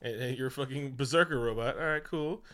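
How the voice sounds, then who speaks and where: A man co-hosting a comedic movie recap, talking in an animated, profane way and acting out the scenes. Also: medium pitch, quick pace. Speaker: podcast co-host.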